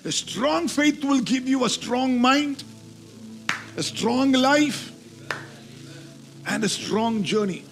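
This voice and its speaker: High-pitched male preacher, emphatic delivery. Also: slow, creaky, long pauses, fairly bright tone, soft background music. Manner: emphatic, repeats key phrases for emphasis. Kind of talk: sermon with scripture reading and call-and-response.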